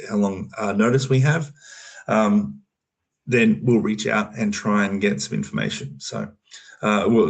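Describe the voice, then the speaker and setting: An Australian man talking loosely on a video call, explaining an opportunity with many fillers and restarts. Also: mid-range voice. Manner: rambling, full of fillers and restarts. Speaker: program manager on a community call. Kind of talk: casual explanatory talk.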